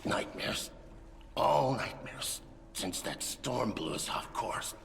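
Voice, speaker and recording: A man voicing a haunted sailor turning monstrous in a video game, speaking haltingly in a gravelly, rasping voice that breaks into whispers. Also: mid-range voice, lively intonation, fairly bright tone, full character voice. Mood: haunted, grim. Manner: halting, tormented, intense. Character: haunted sailor slowly changing into something monstrous. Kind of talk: dramatic cutscene monologue. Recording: cavernous reverb with noise.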